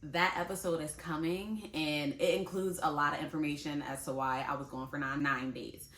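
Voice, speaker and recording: Chatty young woman, bright and echoey. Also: low-pitched, level tone, normal speed. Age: 20s. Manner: chatty, talks straight to viewers, laughs easily. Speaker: vlogger. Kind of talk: casual talk to camera. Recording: echoey room.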